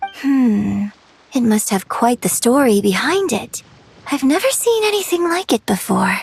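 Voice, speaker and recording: A refined, high-voiced opera lady. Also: lively intonation, unhurried, vocal fry, a little hiss or hum. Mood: curious. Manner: polite, poised, formal. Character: gracious, refined young lady opera singer, modest and polite. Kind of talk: scripted video game dialogue.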